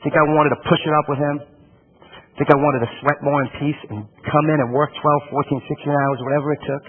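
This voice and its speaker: Southern man from Alabama, motivational keynote speaker with a somewhat high, husky voice; lively delivery to a room, thin phone-like audio. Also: a little fast. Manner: animated, calls on audience members by name. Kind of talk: energetic keynote speech, questioning the audience. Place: conference room with a live audience. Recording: tinny phone-like sound.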